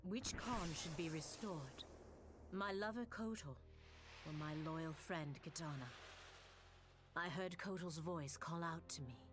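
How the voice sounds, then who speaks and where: An actress playing a regal, serene warrior goddess, narrating formally in a game cinematic. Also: female voice, low-pitched, a little slow, music in the background. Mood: torn, solemn. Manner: formal, stately.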